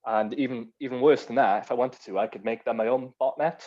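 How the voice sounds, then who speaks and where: A man's voice: medium pitch, fairly quick, mellow, lo-fi sound. A man with a strong Welsh accent, a guest speaker chatting casually on a video call and explaining with examples. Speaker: guest speaker on cyber security. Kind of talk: casual online panel chat.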